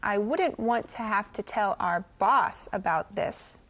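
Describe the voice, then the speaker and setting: A woman's voice: medium pitch, animated, a little slow, fairly bright tone. A woman playing a bossy young cafe manager in a scripted sitcom, speaking firmly and emphatically. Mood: stern, scolding. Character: bossy young female cafe manager, stern older-sibling type.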